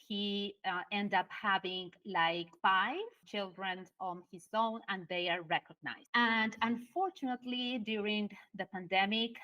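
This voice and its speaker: A woman's voice: medium pitch, dull audio. A woman from Argentina with an Argentine Spanish accent, a Spanish speaker explaining a story in English as a podcast guest, with many fillers and non-native grammar. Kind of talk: conversational explaining. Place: at home in Argentina.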